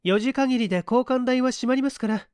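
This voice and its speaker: Prim young lady secretary, played by a man, high and lifted, formal and brisk, audiobook mystery. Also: darkish tone, clear, resonant tone, no echo, clean studio sound. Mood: prim, businesslike. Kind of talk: acted audiobook dialogue.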